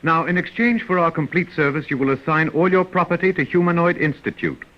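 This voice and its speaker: An actor playing a formal, relentlessly polite humanoid servant robot, calmly insistent, in old radio drama style. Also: male voice, on the high side, a little fast, lightly acted. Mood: serenely insistent. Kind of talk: 1950s radio drama acting. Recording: dull vintage radio recording.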